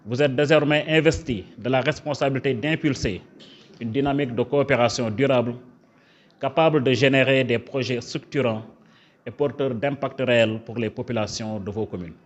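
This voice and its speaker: Senegalese official, dull lo-fi sound. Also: male voice, mid-range voice, moderate pace. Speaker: government official, prefect. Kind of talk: formal official ceremony speech. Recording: dull, lo-fi event recording.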